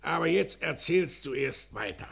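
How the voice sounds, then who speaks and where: An actor playing a gruff, sardonic police commissioner in an old German radio crime drama, brusque and commanding with a mocking, fatherly sternness. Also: on the high side, lively intonation, normal speed, fairly bright tone, heavily acted. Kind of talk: mid-20th-century German radio crime drama acting.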